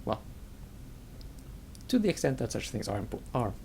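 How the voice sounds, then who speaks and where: A man giving casual let's play commentary, halting and thinking aloud in fragments. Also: mid-range voice, very animated, vocal fry, mellow, a little hiss or hum.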